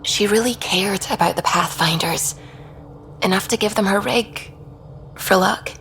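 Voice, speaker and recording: An actress playing an earnest, thoughtful woman science officer on a starship in a video game, with a measured delivery. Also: mid-range voice, fairly bright tone, a little hiss or hum, low-quality recording. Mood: gentle.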